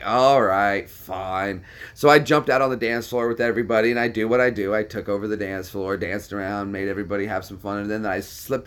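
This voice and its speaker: Rambling low-voiced young American man. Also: fairly bright tone. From United States. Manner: rambling and self-deprecating, with frequent fillers. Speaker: vlogger answering viewer questions. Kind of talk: casual chat.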